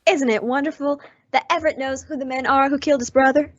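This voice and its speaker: A woman's voice: sits high, steady tone, fast talker, a little hiss or hum, natural voice. A woman playing a polite, earnest young female bank clerk in an old-time radio western. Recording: dull lo-fi audio.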